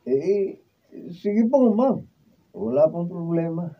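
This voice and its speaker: An actor with a Filipino accent playing a humble, eager street magician who is showy when performing, in a radio drama. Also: male voice, sits high, sing-song, slow, slightly rough, highs cut off, exaggerated voice. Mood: humble, deferential. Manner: showy when performing, deferential to his boss. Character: humble, eager male street magician, a struggling family man.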